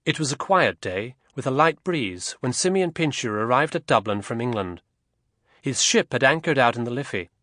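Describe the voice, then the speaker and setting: A man narrating a historical novel as an audiobook, in a measured, expressive storytelling manner. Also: medium pitch, dry recording, clean studio sound.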